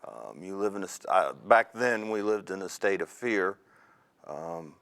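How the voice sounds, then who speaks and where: A man in his fifties from Indiana, an Army veteran telling his story in a measured, reflective way with frequent pauses. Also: low-pitched, animated, vocal fry, fairly bright tone. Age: 50s. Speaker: interview guest, Army veteran. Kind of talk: oral history interview.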